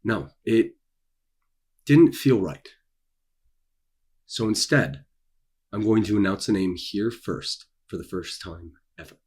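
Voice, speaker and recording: A young man with a fairly low, husky voice talks in a casual vlog style. His delivery is theatrical, leaning on repeated words and dramatic pauses for emphasis. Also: animated, darkish tone. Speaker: author and video creator. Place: small dark room indoors.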